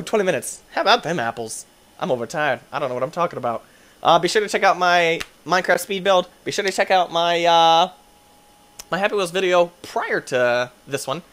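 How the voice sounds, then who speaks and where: A young man doing goofy gameplay commentary, tired, his voice deeper late at night, swinging between low mumbles and excited yelps. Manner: goofy, playful, jumps between mumbling and yelping. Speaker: gaming youtuber.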